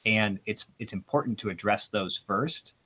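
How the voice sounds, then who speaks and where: A man's voice: on the low side, moderate pace, sharp tone, breathy edge. A man explaining technical matters informally as an audio expert on a panel, giving his opinions in a discussion. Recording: dull, band-limited sound.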